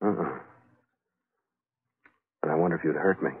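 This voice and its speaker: A man's voice: a bass voice, moderate pace, breathy edge. An actor playing a tough, wry sea captain who tells his own story in 1940s radio noir style. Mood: flirting, cool. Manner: hard-boiled, wry. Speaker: narrator and lead character. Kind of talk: 1940s radio noir acting, first-person narration. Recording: narrow-band old 1940s radio, music behind.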